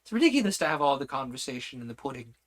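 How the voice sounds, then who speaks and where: A man's voice: big pitch swings, racing, lightly acted. A man voicing an imperious, bossy queen who shrieks her orders in a storybook reading. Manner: shrill, commanding, screaming orders.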